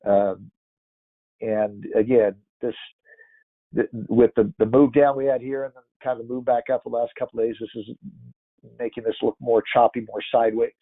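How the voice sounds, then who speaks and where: A man's voice: mid-range voice, fairly even intonation, normal speed, no room sound. A man with a congested, stuffy nose giving casual market commentary, rambling and thinking aloud with lots of restarts. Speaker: market analyst. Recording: tinny phone-like dry audio.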